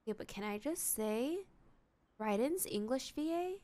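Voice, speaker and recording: A woman's voice: high voice, animated, measured pace, dark tone. A woman streaming a game, reacting casually and expressively in informal speech. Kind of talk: casual live reaction commentary.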